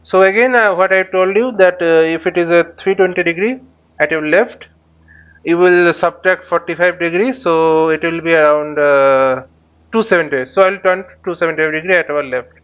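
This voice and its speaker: A man with a slightly high voice narrates a live flight simulator lesson. He speaks haltingly, thinking aloud as he demonstrates each step like an instructor. The recording is somewhat dull and lo-fi. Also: fairly bright tone, clean tone. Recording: dull, lo-fi microphone sound.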